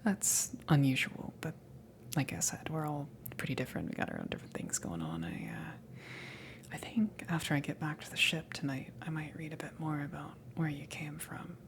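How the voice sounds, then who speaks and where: A kind, slightly awkward female alien from a salvage crew, played by a woman, speaking in a low-pitched whisper for an ASMR roleplay. The voice is creaky and breathy, and the delivery is hesitant, rambling and reassuring. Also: big pitch swings, moderate pace, exaggerated voice. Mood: musing, curious. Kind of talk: ASMR roleplay, improvised-sounding soft talk.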